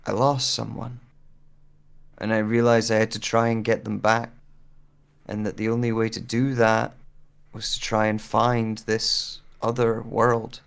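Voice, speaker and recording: A man playing a grieving, haunted lone explorer, delivering a slow, brooding journal monologue for an audio drama. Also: on the low side, vocal fry, clean tone.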